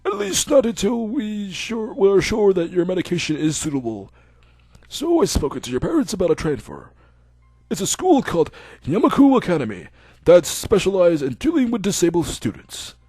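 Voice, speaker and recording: A gently formal older doctor, as a man puts him on, speaking in a measured, reassuring bedside tone. The voice sits a little higher than the reader's own and swings freely in pitch. It is recorded close and dry. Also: huge pitch swings, breathy edge, dry recording, full character voice. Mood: calm.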